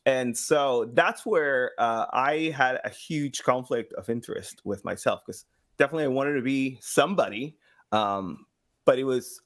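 Male podcast guest, thirties, from South America; mid-range voice, casual anecdotal delivery with acted-out quotes. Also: mid-range voice. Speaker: podcast guest, sound designer. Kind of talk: casual interview storytelling. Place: small home room, video call. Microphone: boom-arm microphone with headset.

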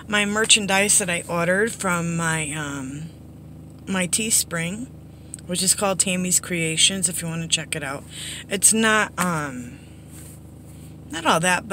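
A middle-aged woman with a hoarse, under-the-weather voice, giving a casual, rambling update to viewers in a low-key, apologetic way. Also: bright, forceful tone. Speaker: slots vlogger. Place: inside a parked car. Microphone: phone at arm's length. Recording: background noise inside a vehicle.

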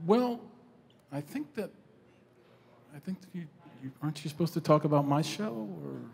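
Male, hesitant stage banter, gravelly voice, faintly noisy live sound. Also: fairly high pitch, animated. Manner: halting, hesitant. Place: large convention hall stage.

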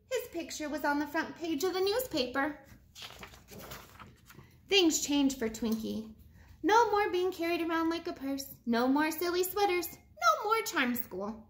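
A woman with a high voice reads a children's story aloud. Her intonation swings widely, and she phrases each line deliberately, leaning on key words for young listeners. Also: a bit bright. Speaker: teacher reading a picture book aloud to pupils. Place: living room at home, indoors.

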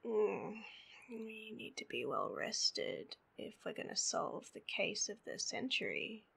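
Woman acting a husky, very slow Australian sleepy girlfriend. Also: mid-range voice, sing-song, a bit bright, in a whisper, exaggerated voice. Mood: sleepy, affectionate. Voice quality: husky, breathy. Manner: drowsy, murmuring, cooing. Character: sleepy, needy, silly, affectionate girlfriend. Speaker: voice actor. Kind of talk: intimate roleplay audio, ASMR.

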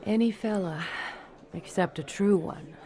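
A woman's voice: medium pitch, measured pace, warm tone, natural voice. A woman playing a hurt, lovestruck nightclub singer in denial, in a noir radio drama. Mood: wounded, defensive. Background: music and nightclub crowd.

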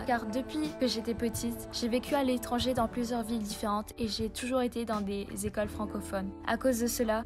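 Young woman narrating over music. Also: fairly high pitch. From Vietnam. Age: young adult. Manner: earnest, reflective, evenly paced reading. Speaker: vlogger. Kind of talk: scripted personal narration. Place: voice-over, not recorded in the pictured places. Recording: voice-over with clear background music. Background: music bed under the voice.

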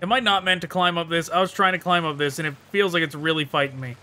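A man's voice: fairly high pitch, fairly even intonation, fast talker, sharp tone. A man giving casual commentary as he plays through a game. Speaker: gaming YouTuber, let's player. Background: game music and sounds.

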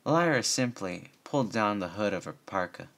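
A man's voice: fairly low pitch, expressive, moderate pace, a bit bright. A man reading fan fiction aloud in an amateur storytelling style, now and then stumbling over words.

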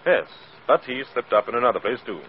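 An actor playing a cheerful, self-assured gentleman sleuth, affectionate and suave, in a 1940s radio drama. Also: mid-range voice, animated, quick pace, close to an everyday voice. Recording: muffled, faintly noisy old radio.

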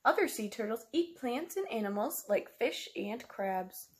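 A woman's voice: on the high side, animated, measured pace, a little hiss or hum. A woman, reading a picture book aloud like a teacher, explaining simply to young children and asking them questions. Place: small room at home, indoors.

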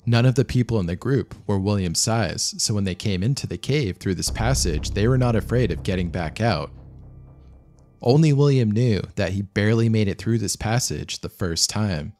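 A man reading scripted documentary narration of a true disaster story in a measured, matter-of-fact way. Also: on the low side, darkish tone. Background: background music.

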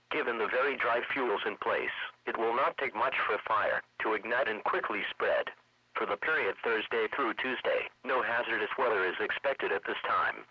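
A man's synthetic voice reading a scripted weather forecast in a measured, impersonal way. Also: mid-range voice, dry recording. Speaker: weather radio announcer. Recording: dull lo-fi weather radio broadcast.

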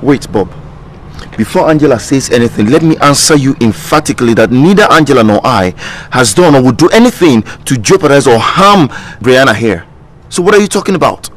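An actor playing a defensive husband who speaks loudly and emphatically. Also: male voice, mid-range voice, lively intonation, fairly quick, lightly acted. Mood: emphatic. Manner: emphatic, loud.

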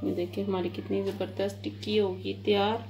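A woman's voice: medium pitch, brisk, a little hiss or hum. Woman explaining cooking step by step. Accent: Pakistani Urdu. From Pakistan. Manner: calm, repetitive, pointing things out to viewers. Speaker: home cooking channel host. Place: home kitchen. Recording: kitchen clatter of dishes and pans.

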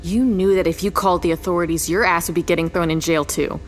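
A woman's voice: on the low side, fairly even intonation, fast, soft background music, close to an everyday voice. A woman playing a blunt, scornful homicide detective in an audio drama, stern, sarcastic and foul-mouthed. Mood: angry, scornful.

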